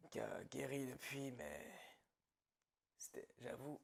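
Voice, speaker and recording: A man playing a calm, gloating psychopathic killer in an ASMR horror roleplay, husky and gravelly, often dropping toward a whisper. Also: medium pitch, exaggerated voice. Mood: wistful, obsessed. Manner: slow, pausing, quietly menacing storytelling. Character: calm, gloating male psychopath killer confessing his story.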